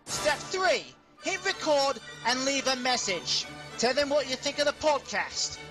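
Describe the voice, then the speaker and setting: A woman's voice: high-pitched, sing-song, measured pace. A woman reading a scripted promo as an announcer, in a dramatic and menacing manner. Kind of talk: scripted promo read over music. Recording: voice over music bed.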